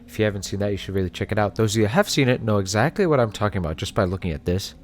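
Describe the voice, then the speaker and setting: A man with a fairly low voice hosts a commentary in a casual, conversational way. He explains things step by step and slips in wry, offhand remarks. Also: animated, rapid-fire, faint music behind. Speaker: host. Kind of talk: casual video analysis commentary.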